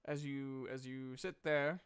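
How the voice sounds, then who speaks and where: A man running a tabletop game as game master, narrating deliberately and haltingly and chatting with players. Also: medium pitch, fairly even intonation, clear, resonant tone.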